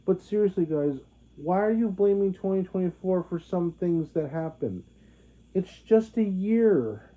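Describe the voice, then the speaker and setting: Halting young man, tinny phone-like sound. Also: high-pitched, expressive. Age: 20s. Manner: halting, many restarts and fillers. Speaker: vlogger. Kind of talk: rambling monologue to viewers.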